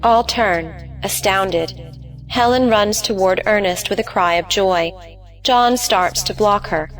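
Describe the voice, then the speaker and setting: Woman narrator, mid-pitched, music behind. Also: slow, low-quality recording. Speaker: narrator reading stage directions. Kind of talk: scripted narration of an audio play.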